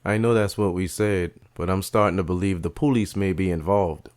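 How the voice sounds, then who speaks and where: A man's voice: low-pitched, moderate pace, darkish tone, dry recording, studio quality, natural voice. A man voicing a determined young adult man who speaks in a direct, questioning way. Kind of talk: audio drama storytelling.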